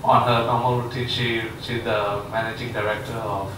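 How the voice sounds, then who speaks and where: A man's voice: medium pitch, level tone, moderate pace, noisy background. A man hosting an event, introducing the speaker informally and hesitantly, with many fillers. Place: large echoey lecture room. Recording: echoey room recorded from far off.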